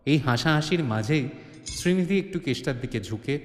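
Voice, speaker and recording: A man's voice: a little high, expressive, normal speed. A man delivering scripted, dramatic narration as the storyteller of an audio drama. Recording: echoey reverb on the voice.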